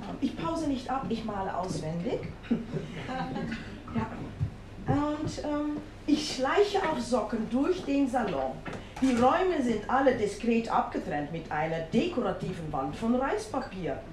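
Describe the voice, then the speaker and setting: A woman's voice: on the high side, big pitch swings, measured pace, darkish tone, slight background noise. A woman with a Dutch accent reading a humorous story aloud, playful and self-mocking, with exclamations. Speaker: comic storyteller. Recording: roomy with faint ambience.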